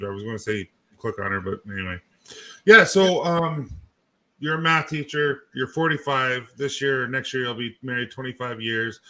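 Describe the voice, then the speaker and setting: A man's voice: mid-range voice, bright, forceful tone. A man in his forties hosting a casual livestream, greeting viewers by name and laughing often. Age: 40s. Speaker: livestream host.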